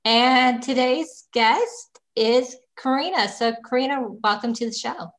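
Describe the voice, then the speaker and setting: A woman's voice: high-pitched, a little slow, a bit bright. A woman hosting a podcast interview over a video call, friendly, with short encouraging replies. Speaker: podcast host.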